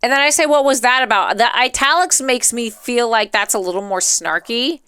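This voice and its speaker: A woman chatting casually as a live streamer, talkative and rambling, with an expressive, playfully dramatic way of talking. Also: fairly high pitch, normal speed, clean tone, dry recording, clean studio sound. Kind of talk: casual chatty livestream commentary.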